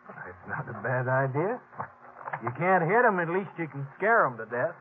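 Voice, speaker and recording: An actor playing an earnest, easygoing young stagecoach station hand in a 1950s radio western, polite and folksy. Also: male voice, a little high, very animated, normal speed. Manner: folksy, polite, drawn into explanations. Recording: tinny, hissy 1950s radio recording.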